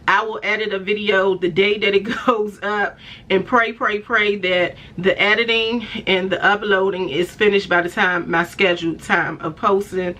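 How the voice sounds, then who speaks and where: A woman in her forties talks straight to her viewers in an easy, chatty vlog manner, calling them by pet names and laughing often between thoughts. Her voice is a little raspy and stuffy after an illness, and her pitch sits in a middle range. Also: steady tone, normal speed, sharp tone. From Washington DC area. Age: 40s. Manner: chatty, affectionate, many fillers, laughs often. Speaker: fashion vlogger. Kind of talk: casual talk to camera.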